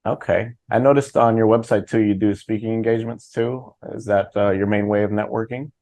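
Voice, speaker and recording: A man's voice: lowish voice, steady tone, a little fast, darkish tone, dry recording. A man hosting a podcast interview, casual and informal, encouraging his guest. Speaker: podcast host, author.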